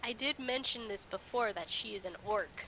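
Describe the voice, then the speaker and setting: A woman game master narrating a tabletop roleplaying game in a halting, improvised way. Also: medium pitch, bright, forceful tone. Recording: noisy game stream.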